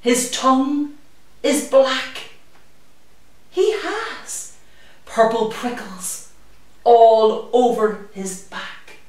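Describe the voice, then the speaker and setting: A woman reads a children's picture book aloud, very slowly and with lively rises and falls. Her delivery is expressive and sing-song. She sounds distant in a very echoey room. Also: on the high side. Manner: deliberate, sing-song rhythm for young children. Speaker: children's story-time reader.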